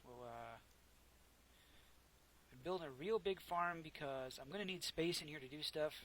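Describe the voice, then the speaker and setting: Quiet, rambling man narrating gameplay. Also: fairly high pitch, moderate pace. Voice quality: soft-spoken, quiet voice. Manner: rambling, self-correcting, chatty. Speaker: gameplay commentator. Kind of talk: casual let's play commentary. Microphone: close desk microphone.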